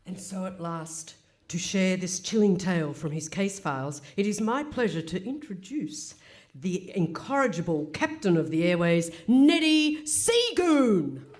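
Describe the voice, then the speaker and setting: A woman playing a straight-faced announcer, narrating absurd scripted radio comedy in a formal, deadpan manner. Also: fairly low pitch, measured pace. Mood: mock-solemn. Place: hall stage, live performance.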